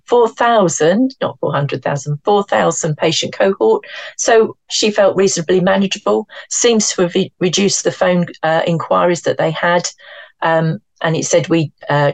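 English woman, low voice, ums a lot, on a video call, dry sound. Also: no room sound. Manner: hesitant, many fillers. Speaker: practice support adviser. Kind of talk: online briefing to practice managers.

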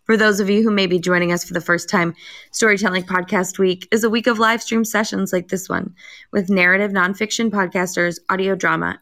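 A woman's voice: medium pitch, fairly bright tone, no room sound. A woman reading a scripted promotional introduction as an event host.

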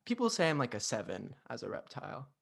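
A man's voice: mid-range voice, huge pitch swings, fast talker, vocal fry, a bit bright, breathy edge, heavily acted. A man playing a bashful but boastful giant Godzilla posing as a teenage girl in an improvised comedy sketch. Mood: bashfully boastful.

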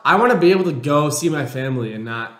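A man's voice: a little high, animated, fast talker, a bit bright. A young man from Illinois co-hosting a podcast in casual chat, laid-back with dry jokes, sounding a bit congested. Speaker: podcast co-host. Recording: roomy echo.

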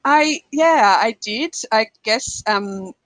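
Australian woman, podcast guest, chatty with light laughs, bright voice, dry close sound. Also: on the high side, expressive, slow pace, no room sound. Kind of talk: casual conversational interview. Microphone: remote call.

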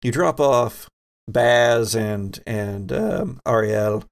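A man's voice: mid-range voice, animated, very slow, gravelly. A man hosting and running a tabletop roleplaying game, talking conversationally and guiding players with questions. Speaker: game master and podcast host.

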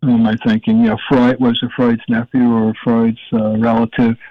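An older man with a gravelly voice, a guest in an interview, talking in a rambling, emphatic way while searching for words. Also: medium pitch, little pitch movement, normal speed, no room sound. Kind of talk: casual interview talk. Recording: dull, dry audio.

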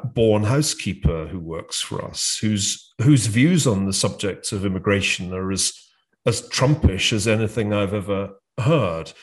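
Soft-spoken British man, measured. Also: lowish voice. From United Kingdom. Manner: measured, hesitant with frequent uhs and restarts. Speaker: podcast host. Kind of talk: conversational discussion.

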